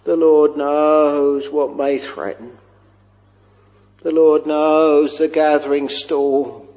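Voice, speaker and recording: A man preaching a slow, fervent sermon, drawing out his words and sometimes lifting into a chant-like lilt. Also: fairly high pitch. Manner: drawn-out, intoning, breaks into a chant-like lilt. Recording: dull lo-fi recording with long hall reverb.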